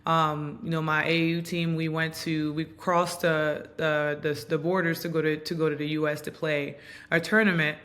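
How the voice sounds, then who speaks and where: A woman in her thirties from Montreal, whose first language is Haitian Creole, giving reflective, earnest interview answers with frequent pauses and ums. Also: low-pitched, flat delivery, fairly bright tone. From Montreal, Canada. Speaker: guest, basketball player and coach.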